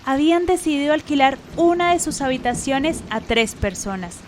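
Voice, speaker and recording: A woman's voice: high-pitched, expressive, normal speed, a little hiss or hum. A woman narrating a radio drama in a scripted storytelling style.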